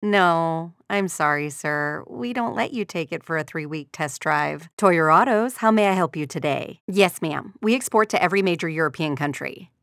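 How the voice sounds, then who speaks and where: Female game receptionist, courteous, sardonic undertone, gravelly vocal fry, close dry sound. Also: low-pitched, moderate pace, hardly any pauses, a bit bright, no room sound. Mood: polite, businesslike. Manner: courteous customer-service formality with sardonic undertone. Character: polite, dryly exasperated car dealership receptionist woman. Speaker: secretary answering customer calls. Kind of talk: scripted video game voice lines.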